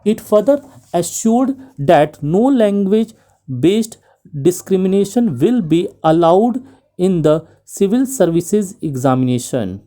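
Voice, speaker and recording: A woman with an Indian English accent reads a parliamentary speech as shorthand dictation. She speaks very slowly, in measured chunks with steady pauses, in a mellow, somewhat low voice. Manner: deliberate phrase-by-phrase delivery with pauses.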